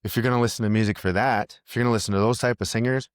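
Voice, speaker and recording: A man's voice: on the low side, fairly even intonation, rapid-fire, clean tone, dry recording, clean studio sound. A man, a musician, chatting casually in a podcast, thinking aloud with frequent restarts. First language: English. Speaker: musician guest.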